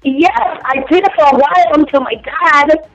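A woman's voice: high voice, moderate pace, a bit bright, no room sound, soft background music. Spanish-accented woman on a dull phone line. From Cuba. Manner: frank, bubbly, giggly. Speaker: phone-in guest. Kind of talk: casual radio interview. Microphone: telephone.

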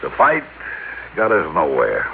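A man's voice: sits low, wildly swooping pitch, a little slow, creaky, noisy background, full character voice. An actor playing a reluctant, conscience-troubled businessman and older brother in an old-time radio drama. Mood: troubled, reasoning. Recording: muffled old radio broadcast, music behind.

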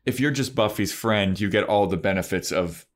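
Low-voiced man in casual podcast chat. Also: normal speed. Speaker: podcast host. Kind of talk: casual chat about a TV show.

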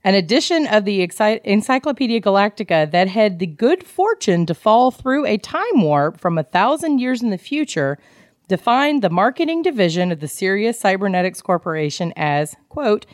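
A woman co-hosting a podcast, chatting casually and in an animated, geeky way about a book. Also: medium pitch, normal speed, no echo, clean studio sound. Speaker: podcast co-host. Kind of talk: casual book club chat, reading out quotes.

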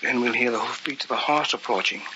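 A man's voice: mid-range voice, fast talker, noisy background, close to an everyday voice. A man acting a zealous occultist, old radio horror. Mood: tense, hushed. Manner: theatrical, urgent, intense. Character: zealous, commanding male occultist, obsessed with a ritual. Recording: dull old radio recording, music behind.

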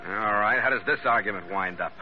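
A man's voice: lowish voice, a little fast, background noise, close to an everyday voice. Terse hard-boiled radio skipper played by a man. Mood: wary, defiant. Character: tough, terse male ketch skipper narrating his log. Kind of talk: 1940s radio drama narration and acting. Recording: dull vintage radio recording with music.

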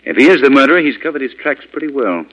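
Urbane detective, old radio drama, lively intonation, crisp bright delivery, confident, brisk. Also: male voice, medium pitch, slight background noise. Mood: measured, sceptical. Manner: brisk, reasoning. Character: shrewd, urbane male amateur detective, confident. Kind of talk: 1940s radio drama acting. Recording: dull vintage radio recording.

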